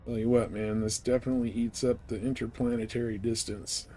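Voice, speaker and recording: A man's voice: medium pitch, level tone, brisk, mellow. Man casually commentating over noisy game audio. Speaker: live streamer playing a space flight game.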